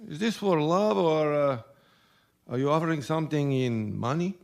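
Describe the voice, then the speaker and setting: A man's voice: on the high side, moderate pace, creaky. A man playing a shifty, grudging manager of a seedy motel in a radio mystery, evasive and sardonic.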